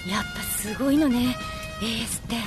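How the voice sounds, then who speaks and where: A woman playing an outspoken high school girl in an audio drama, casual and expressive. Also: a little fast, music in the background. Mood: amazed. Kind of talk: Japanese audio drama acting.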